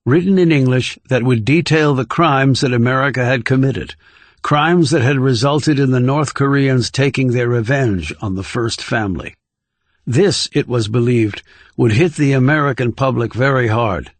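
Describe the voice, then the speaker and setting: A man's voice: mid-range voice, no echo. A man reading a scripted thriller novel as an audiobook narrator.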